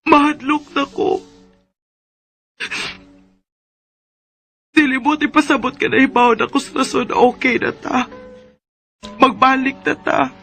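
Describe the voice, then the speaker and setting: High-voiced heartbroken young Filipina bride. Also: female voice, steady tone, normal speed, creaky, fairly bright tone, slightly rough, full character voice. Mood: tearful. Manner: emotional, reproachful. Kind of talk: Filipino radio drama acting. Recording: music bed under the voice.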